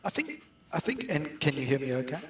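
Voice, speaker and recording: A man's voice: on the high side, sing-song, brisk, a bit bright, slight background noise. A middle-aged man with a South African accent, answering interview questions conversationally as a business guest. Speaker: guest outsourcing business expert. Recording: roomy echo, distant from mic.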